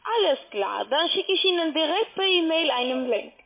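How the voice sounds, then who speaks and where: Woman call centre agent, high voice, lively intonation, polite, formal, tinny phone line. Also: normal speed, gravelly.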